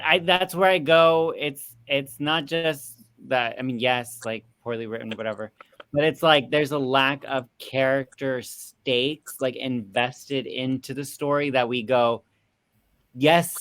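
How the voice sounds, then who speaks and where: A closely recorded, dry-sounding man with a mid-range voice discusses film casually on a panel. He phrases his opinions in a halting, emphatic way. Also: medium pitch, a bit bright, clean tone, no echo.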